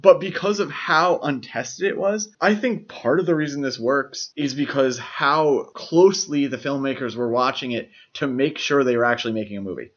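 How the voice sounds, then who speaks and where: A man's voice: medium pitch, moderate pace, few pauses, a bit bright, lo-fi sound. A man co-hosting a podcast in a casual film discussion, explaining his opinions as he goes. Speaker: podcast co-host.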